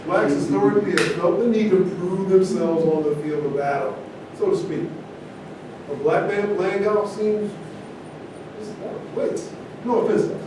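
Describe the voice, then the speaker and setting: A man's voice: moderate pace, lightly acted. A man acting an opinionated, provocative character in a stage play reading, arguing in a declamatory way. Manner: argumentative, declamatory. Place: echoey room at a live staged reading. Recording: distant mic, very echoey room, noisy rumble.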